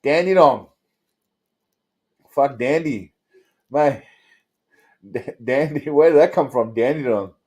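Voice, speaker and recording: A man's voice: mid-range voice, takes it slowly, pauses often, darkish tone. A man with an Australian accent hosting a casual livestream, rambling and swearing in a crude, blokey way as he talks to viewers. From Australia, Melbourne suburbs. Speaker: host of a livestream channel. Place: indoors.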